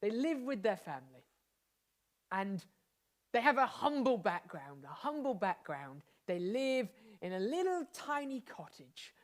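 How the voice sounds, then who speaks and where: A woman hosting and narrating an improvised pantomime with playful, excited enthusiasm. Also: medium pitch, unhurried. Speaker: host directing an improvised pantomime.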